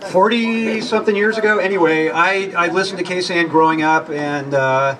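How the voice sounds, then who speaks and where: A man's voice: high voice, normal speed, sharp tone. A man in his sixties casually reminiscing to an audience, acting out bits of old conversations. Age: 60s. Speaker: former radio intern, panel guest. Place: public event with audience. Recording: distant event mic, background noise.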